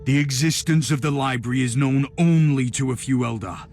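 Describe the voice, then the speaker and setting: An actor playing a stern, suspicious male inquisitor in a video game, speaking in a terse, authoritative, measured way. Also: mid-range voice, a little mellow, close to an everyday voice. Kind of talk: dramatic video game cutscene acting. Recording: music behind the voice.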